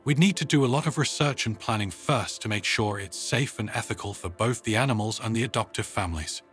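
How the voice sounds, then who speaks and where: A man voicing a kind, careful human woman who commands a space team, in a measured storybook reading. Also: fairly low pitch, fairly bright tone, soft background music, close to an everyday voice.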